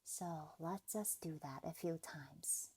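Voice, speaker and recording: A woman leading a guided meditation in a gentle, soothing, deliberate manner, leaving pauses between phrases. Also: low voice, breathy edge. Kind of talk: guided meditation, scripted narration.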